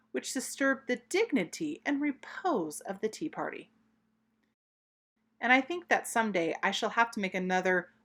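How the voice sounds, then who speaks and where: Female children's storybook narrator, mid-pitched, very animated, expressive and deliberate in delivery. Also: slightly rough. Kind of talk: expressive read-aloud storytelling.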